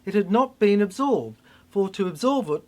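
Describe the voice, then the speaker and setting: Dramatic narrator with a widely swinging pitch. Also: expressive. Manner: theatrical, emphatic, with halting repeats. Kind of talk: dramatic spoken-word narration of a cryptic science-fiction monologue.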